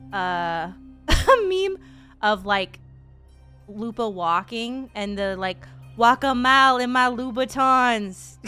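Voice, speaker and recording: Slangy, high-voiced woman streamer in her thirties. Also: a bit bright. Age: 30s. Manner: slangy, sweary, playful. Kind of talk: casual gaming stream commentary.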